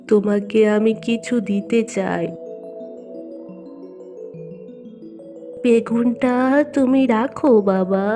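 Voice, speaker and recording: A woman's voice: fairly high pitch, mellow. A woman voicing a plaintive, humble old beggar woman in a cartoon folk tale. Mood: grateful, blessing. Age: older. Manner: pleading, plaintive. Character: hungry, pleading, grateful old beggar woman.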